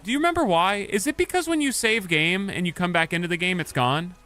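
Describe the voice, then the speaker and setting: A male streamer chatting casually with his live chat while playing a game, swinging from mumbled asides to loud outbursts. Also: sits high, animated, fast talker, soft background music. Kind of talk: casual gaming livestream chat.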